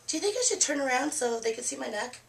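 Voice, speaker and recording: Middle-aged woman vlogging at her webcam, hoarse from a cold, chatty, small echoey room. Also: sits high, animated, quick pace, far from the mic. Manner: chatty, candid, laughs easily. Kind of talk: casual personal vlog. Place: small room with a plain green wall.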